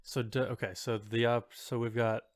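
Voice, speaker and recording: A man co-hosting a podcast in casual banter, halting and joking as he thinks aloud. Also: mid-range voice, level tone, no room sound. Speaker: podcast co-host.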